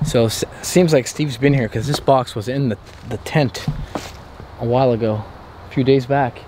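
Loud outdoor noise surrounds a man recording an exploration vlog on the move. His voice sits at an average pitch. He talks in jittery, repeated bursts peppered with swearing, often slipping toward a whisper. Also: fairly even intonation, moderate pace. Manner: jittery, breathless, heavy swearing, repeats phrases. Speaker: vlogger. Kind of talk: whispered and hushed first-person exploration vlog. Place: outdoors at an abandoned campsite. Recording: noisy outdoor handheld ambience.

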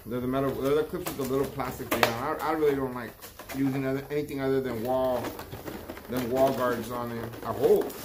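A middle-aged man chatting casually to his vlog viewers as a barber and shop owner, often repeating phrases for emphasis. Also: mid-range voice. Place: outside a storefront, later inside a barbershop. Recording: noisy ambient background.

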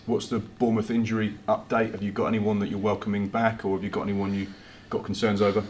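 A man's voice: lowish voice, little pitch movement, quick pace, a little mellow, slight background noise. A man working as a reporter, asking prepared, formal questions at a press conference.

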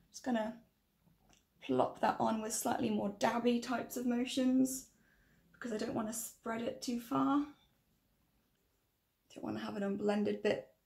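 A woman in her thirties with a croaky, tiring voice, chatting and correcting herself as she presents a casual tutorial to camera. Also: fairly high pitch, darkish tone, echoey room. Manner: chatty, rambling, self-correcting. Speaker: makeup tutorial vlogger. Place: small room indoors.

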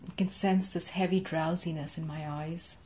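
A woman's voice: low voice, steady tone, darkish tone. Soothing woman leading a tapping session. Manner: slow, soothing, rhythmic repetition. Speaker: EFT tapping coach. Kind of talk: guided tapping session, repeat-after-me phrases. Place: home office with framed certificates, indoors.

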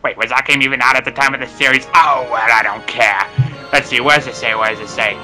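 A man's voice: medium pitch, fairly even intonation, quick pace, pushed and bright, soft background music. A man voicing a moody old ape sorcerer in an amateur cartoon parody, rambling theatrically and bossing others around. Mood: grumbling, dramatic. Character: cranky, moody old male ape who dabbles in magic.

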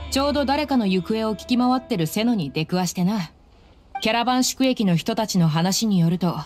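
A woman's voice: medium pitch, a little mellow, natural voice. A woman playing a bold, easygoing mercenary in a video game, tomboyish, blunt and familiar.

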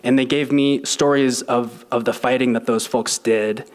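A man in his twenties sharing a personal story at a church lectern, thoughtful and halting with frequent ums and restarts. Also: medium pitch, steady tone. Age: 20s. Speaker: guest speaker. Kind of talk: personal testimony at a service. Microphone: lectern mic.

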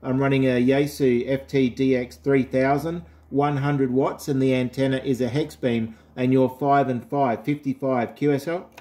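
A man's voice: mid-range voice, little pitch movement, normal speed, few pauses, warm tone. A man with an Australian accent chatting casually as an amateur radio operator, indoors at his set. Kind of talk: casual ham radio contact.

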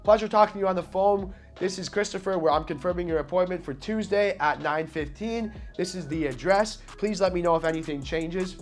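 A man in his thirties speaks as a sales trainer, with a somewhat high-pitched voice. He is direct and informal, coaching the viewer and acting out sample phone lines. Faint music plays behind him. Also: fairly quick, hardly any pauses. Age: 30s. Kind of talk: informal instructional talk to camera. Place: small office, indoors. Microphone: lapel mic.

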